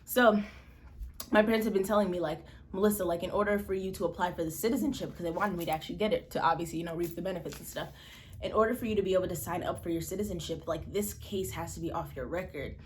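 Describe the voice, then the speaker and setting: A woman's voice: fast talker, a little hiss or hum. Chatty young woman telling a story. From Malawi. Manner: chatty, expressive, acts out quotes with pitch jumps. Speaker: vlogger. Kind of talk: casual storytime vlog while eating.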